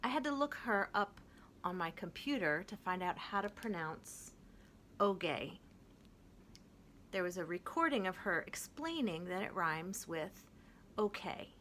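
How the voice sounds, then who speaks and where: Gentle, expressive woman reading a picture book. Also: lowish voice, a little slow, a little hiss or hum. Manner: gentle, expressive, clear storytelling. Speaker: children's story reader.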